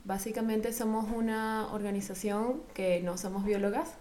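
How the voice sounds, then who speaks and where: A woman's voice: medium pitch, steady tone, normal speed, warm tone. A woman from Venezuela with a Venezuelan accent, speaking as an interview guest in a casual, explanatory way. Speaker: interview guest, co-founder of a volunteer project.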